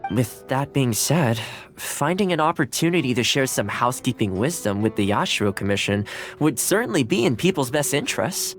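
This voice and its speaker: Male actor as a friendly young attendant, swooping expressive pitch, courteous, casual, game character, light music behind. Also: normal speed, lightly acted. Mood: earnest, thoughtful. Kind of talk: English video game voice acting.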